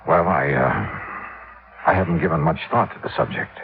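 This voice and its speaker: A man's voice: a bass voice, a little slow, vocal fry, heavily acted. An actor playing a wry, businesslike but polite male insurance investigator who narrates and acts in a 1950s radio detective drama. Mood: hesitant, polite. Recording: tinny old radio recording with music.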